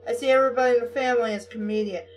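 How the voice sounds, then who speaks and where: A woman's voice: on the high side, fairly even intonation, very fast, far from the mic, natural voice. A woman reading the part of a harried, wry aunt with a weary, firm, motherly manner in a storybook.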